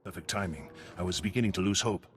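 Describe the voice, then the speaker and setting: An actor playing an urgent, secretive undercover agent in a video game, giving precise briefings in a gravelly voice. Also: male voice, low-pitched, fast talker, slight background noise, natural voice. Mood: urgent, guarded. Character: male Republic intelligence agent undercover, urgent, secretive, earnest.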